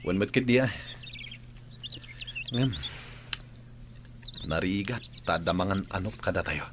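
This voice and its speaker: A wary, troubled hill outlaw in an Ilocano radio drama. The voice is deep with an Ilocano accent, set in noisy sound with chirping birds. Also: male voice, measured pace. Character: suspicious, troubled armed outlaw hiding in the hills. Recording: noisy, birdsong effects behind.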